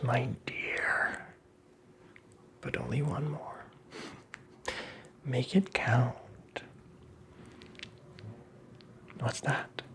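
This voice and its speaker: A tricksy, creepy fairy-tale imp played by an ASMR performer whispers with a gravelly rasp. The delivery is slow and theatrical, full of taunting, mock courtesy. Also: mid-range voice. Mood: smug, menacing. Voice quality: breathy whisper with gravelly creak.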